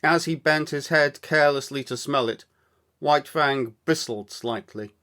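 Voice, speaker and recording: A man reading a story aloud as scripted narration, with measured, deliberate, dramatic phrasing. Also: fairly high pitch, bright, forceful tone. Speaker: audiobook storyteller, actor.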